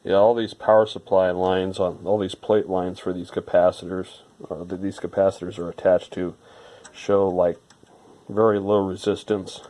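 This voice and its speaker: A man's voice: low voice, level tone, moderate pace, mellow. A man giving informal hands-on repair narration, rambling a little as he thinks aloud. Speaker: electronics repair hobbyist presenting a restoration.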